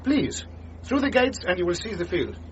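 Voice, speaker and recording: An actor playing a coldly courteous German official with a German accent, formal and smooth, in a radio drama. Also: male voice, sits high, very animated, moderate pace, dull audio, a little hiss or hum. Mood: smug, polite.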